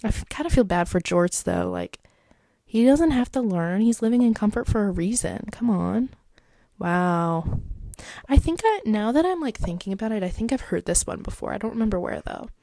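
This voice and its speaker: Stuffy-nosed woman with a gravelly voice. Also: mid-range voice, big pitch swings, normal speed, mellow, dry recording. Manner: chatty and rambling, many likes and fillers. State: stuffed up, congested. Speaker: podcast host. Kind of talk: casual solo podcast, reading online advice posts aloud.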